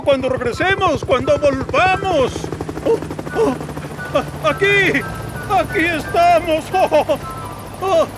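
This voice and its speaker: A man's voice: very high-pitched, exaggerated voice. A man with a Latin American Spanish accent voicing a fussy, dramatic older male railway boss in a cartoon dub. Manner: fretful, theatrical sighs.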